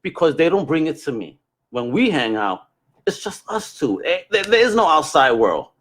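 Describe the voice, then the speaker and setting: Expressive man with a higher-pitched voice. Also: moderate pace. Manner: expressive, informal, slangy. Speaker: podcast host. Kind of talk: casual chat.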